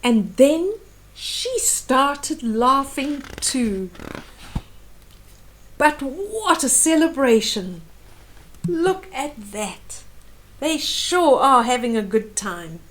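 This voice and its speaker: Older lady reading a kids picture book, high voice, slow n expressive, talks right to the little ones. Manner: expressive, simple phrases with pauses, addressing child listeners. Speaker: children's storyteller. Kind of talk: reading and retelling a picture book to young children.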